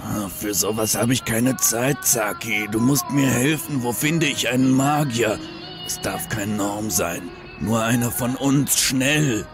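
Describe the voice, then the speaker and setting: An actor playing a gruff, suspicious male troll searching for his missing wife in a German fan audio drama. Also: mid-range voice, unhurried, over music, lightly acted. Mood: alarmed, urgent. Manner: brusque, distrustful of humans.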